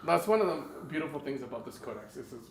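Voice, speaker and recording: A man's voice: fairly high pitch, big pitch swings, vocal fry. A man from Canada chatting and joking with friends while playing a tabletop wargame on a live stream. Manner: quick, joking, breaks into laughs. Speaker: wargame player. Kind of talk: casual live game chat.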